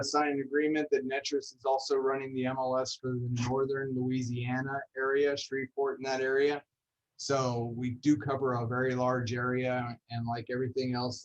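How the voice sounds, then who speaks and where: A man running a casual online training session over a video call, relaxed and joking as he talks. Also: medium pitch, low-quality recording. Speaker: MLS trainer.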